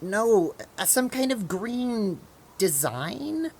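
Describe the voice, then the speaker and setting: A woman playing an earnest, feisty teenage girl in an amateur audio drama, halting and casual, blunt when annoyed. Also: mid-range voice, very animated, a little mellow, slight background noise, exaggerated voice. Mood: uneasy, hesitant.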